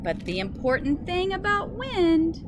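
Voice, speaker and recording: A woman teacher reading a picture book aloud to young children in an expressive way, with simple phrasing. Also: extremely high pitch, a little slow. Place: outdoors in a school garden. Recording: noisy outdoor recording with ambient sound.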